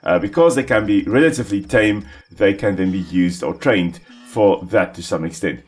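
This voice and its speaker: A man, an Afrikaans speaker, calmly explaining ecology while playing a simulation game, with small restarts. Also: sits low, normal speed, breathy edge, soft background music. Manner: explanatory, calm, with small self-corrections. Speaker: ecologist gaming host. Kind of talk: educational let's-play commentary.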